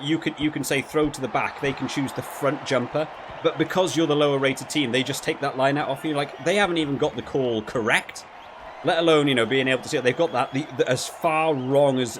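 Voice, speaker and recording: A man giving casual, critical gameplay commentary, thinking aloud in a halting way and repeating words as he goes. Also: mid-range voice, background noise. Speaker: gaming video creator reviewing while playing.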